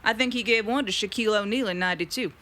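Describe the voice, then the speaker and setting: A woman's voice: medium pitch, very fast, bright, forceful tone, natural voice. A woman playing a tough, scornful sheriff's deputy in a comic audio drama, clipped and sardonic.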